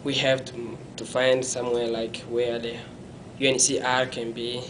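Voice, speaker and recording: Young man, flat halting speech. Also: medium pitch, noisy background. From Congo. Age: young adult. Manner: halting, self-correcting, non-native phrasing; gestures with his hands while talking. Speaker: interviewee. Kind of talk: personal story in an interview. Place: small indoor room.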